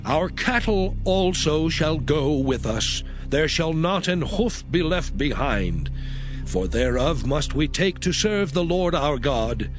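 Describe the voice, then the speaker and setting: High-voiced, solemn defiant prophet. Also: male voice, lively intonation. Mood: defiant, resolute. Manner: declamatory, solemn, drawn-out phrases. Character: solemn, defiant Hebrew prophet, firm and righteous. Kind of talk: dramatized scripture audio acting. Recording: music under the voice.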